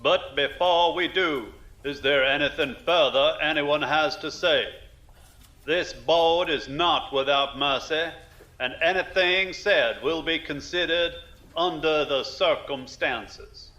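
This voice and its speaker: An actor playing a stern, solemn presiding judge of a military tribunal in a science fiction audio drama. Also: male voice, fairly high pitch, bright, forceful tone, lo-fi sound. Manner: solemn, formal, deliberate.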